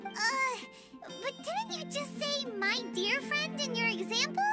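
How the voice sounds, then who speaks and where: A tiny fairy girl sidekick from a fantasy game, her voice extremely high and squeaky and bouncing all over the place. Game music plays loudly behind her. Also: expressive, a little slow. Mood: puzzled. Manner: talks about herself in third person. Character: tiny chirpy floating fairy companion girl.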